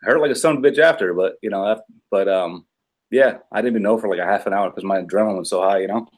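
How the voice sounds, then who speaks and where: A man's voice: fairly low pitch, fast talker. A man, a police officer guest on a podcast, telling a story in a loose, rambling way with many fillers. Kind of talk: casual chat.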